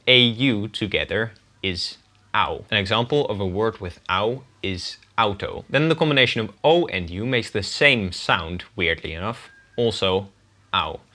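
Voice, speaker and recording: Dutch guy, kinda low voice but bright, walking through examples one by one. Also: moderate pace. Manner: clear, methodical explaining with spelled-out examples. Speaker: guest language teacher. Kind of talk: scripted pronunciation lesson.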